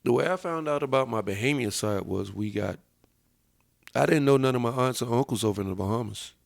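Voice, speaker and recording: A man on a podcast, casually and slowly telling stories about his work on sound systems. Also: mid-range voice, vocal fry, dry recording, studio quality.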